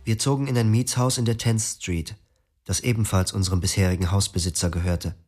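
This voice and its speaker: A man's voice: fairly low pitch, fairly even intonation, fairly quick, a little mellow, faint music behind, natural voice. An actor narrating as a weary young man in a German radio drama. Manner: subdued, reflective. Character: weary young man telling his own story.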